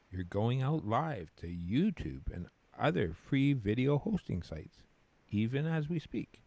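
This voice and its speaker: Audio drama role: man as dry, patient companion, low dark voice, deadpan and measured. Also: heavily acted. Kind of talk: scripted audio drama acting.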